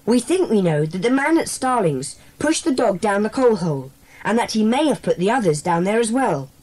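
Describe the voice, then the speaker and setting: A woman playing a bossy, sensible schoolboy leader in a children's audio drama, speaking in a brisk, decisive way. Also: medium pitch, big pitch swings, darkish tone, poor audio. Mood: authoritative.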